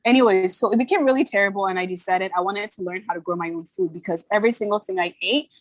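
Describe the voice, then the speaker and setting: A woman's voice: mid-range voice, no room sound. A young woman born in Ghana and raised in Toronto, a podcast guest telling her story with earnest candor and easy laughs. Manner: earnest, candid, quick to laugh. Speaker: podcast guest, brand founder. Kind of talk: casual interview storytelling.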